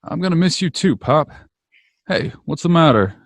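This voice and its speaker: A man's voice: a little high, lively intonation, normal speed, no room sound. A man playing an eager, folksy young man in an old-time radio play. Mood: eager, affectionate. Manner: folksy, earnest. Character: eager, boyish young man leaving for college.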